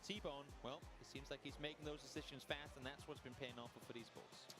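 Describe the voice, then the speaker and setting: A man casting a live esports match, breaking down team plays with rising excitement. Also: mid-range voice, fairly quick, music in the background. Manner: analytical, rising excitement on key calls. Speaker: esports caster.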